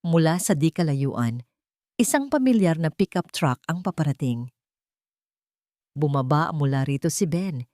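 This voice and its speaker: A woman narrating a story expressively and sentimentally, in short measured phrases. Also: low voice, expressive, mellow, no echo, studio quality. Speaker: audiobook narrator.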